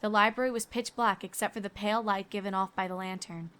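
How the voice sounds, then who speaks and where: A woman's voice: medium pitch, steady tone, fast talker, bright, forceful tone, clean tone. A woman reading scripted story narration as the narrator.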